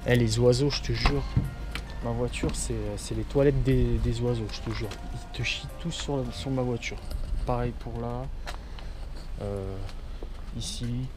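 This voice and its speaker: A man's voice: mid-range voice, fairly even intonation, unhurried, noisy background. A man from southwestern France chatting casually to camera as a vlogger, informal and slangy with plenty of swearing. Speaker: car vlogger.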